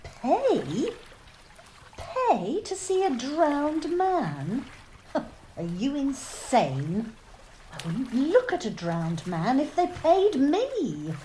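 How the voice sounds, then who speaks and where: A prim, easily scandalised lady, played by a woman, speaking slowly with wide swings in pitch. It is an amateur radio play, with faint noise in the background. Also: wildly swooping pitch, warm tone, full character voice. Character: prim, indignant genteel lady.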